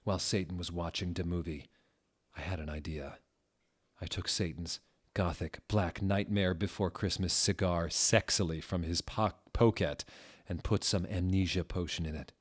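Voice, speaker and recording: A man narrating a story as a comic dramatic reading, with a theatrical, deliberate, mock-serious delivery. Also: low voice, fairly even intonation, slightly rough.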